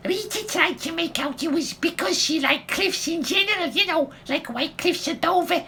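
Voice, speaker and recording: An actress playing a chatty, cheeky gossip in an audio comedy, rambling through stories in colloquial, giggly speech. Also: female voice, very high voice, moderate pace, pushed and bright, a little hiss or hum, exaggerated voice. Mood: gleeful gossip. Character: chatty, cheeky working-class woman, gleeful gossip. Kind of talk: audio comedy radio play acting.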